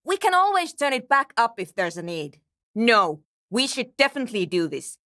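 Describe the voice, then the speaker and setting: A woman's voice: fairly high pitch, big pitch swings, moderate pace, bright, forceful tone, dry recording, clean studio sound. A woman playing a guarded, impatient and anxious programmer in a video game, with a terse, precise and matter-of-fact way of talking. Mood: anxious, pleading. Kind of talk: scripted game character dialogue.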